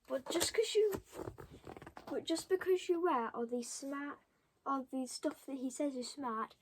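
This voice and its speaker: A young child reading a storybook aloud, stopping for halting, chatty asides to the listeners. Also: high-pitched, noisy background. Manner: halting, commenting on pictures to listeners. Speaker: storybook reader.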